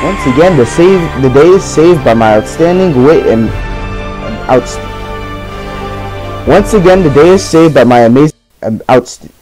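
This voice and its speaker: An excitable young pirate captain from an anime parody, acted by a man. His voice runs high for a man and leaps around animatedly, blunt and dim, with some music behind it. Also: measured pace, pauses often. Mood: boastful. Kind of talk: anime parody fan dub.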